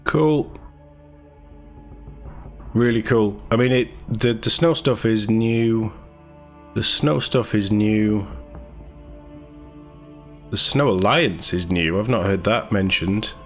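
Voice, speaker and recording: Male gaming news host, low voice, casual and enthusiastic read-through with commentary, music underneath. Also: slow pace, long pauses. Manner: chatty, informal, enthusiastic. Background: background music.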